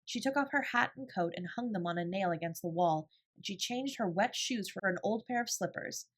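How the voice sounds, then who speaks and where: A woman's voice: medium pitch, a little fast. A woman reading a children's novel as an audiobook narrator, in scripted storytelling style.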